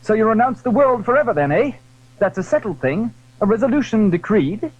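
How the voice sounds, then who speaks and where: A man voicing a jaunty young swashbuckling musketeer in an audio drama, animated, with a slight stammer. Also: high voice, fairly quick, pitched above the performer's own voice. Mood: teasing. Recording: dull lo-fi 1980s audio drama.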